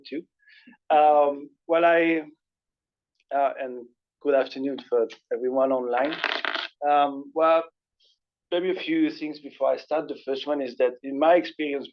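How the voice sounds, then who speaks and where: A man's voice: medium pitch, moderate pace. A man from Belgium whose first language is French, giving an academic talk in a seminar room with a casual, hesitant delivery. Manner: relaxed conversational lecturing, frequent hesitations. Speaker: guest speaker. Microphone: passed microphone. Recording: dull lo-fi lecture recording.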